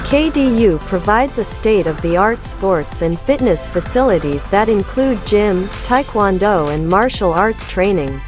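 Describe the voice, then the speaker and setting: A woman's voice: medium pitch, a little mellow. A woman reading scripted promotional narration for an institution. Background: music.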